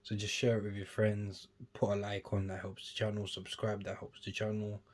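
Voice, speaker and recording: A young man talks in a low, easygoing voice. He rambles casually through an unboxing, his words often dropping to a near mumble before picking up again. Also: completely flat delivery, moderate pace. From United Kingdom. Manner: chatty, rambling, trails off quietly between bursts. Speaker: sneaker YouTuber.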